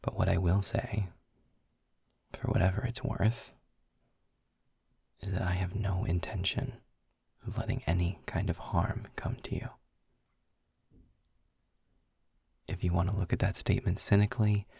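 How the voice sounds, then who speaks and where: Demon guide male actor: very low, unhurried, husky; wry and guarded, for intimate audio drama. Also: dark tone, no echo, heavily acted. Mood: gentle, reassuring. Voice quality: husky, breathy, gravelly low voice. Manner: dry, measured, halting with pauses. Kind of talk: intimate scripted audio roleplay, slow and deliberate. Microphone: close studio-style microphone, dry.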